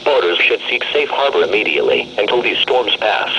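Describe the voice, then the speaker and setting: A man's voice: lowish voice, lively intonation, fairly quick, pushed and bright. A man's synthetic, formal and impersonal voice reading a scripted weather warning bulletin on the radio. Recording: noisy, muffled weather radio broadcast.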